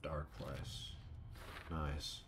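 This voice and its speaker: A man's voice: extremely low pitch, little pitch movement, very slow, background noise. A man giving casual gameplay commentary in short, offhand murmured remarks. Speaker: let's play streamer.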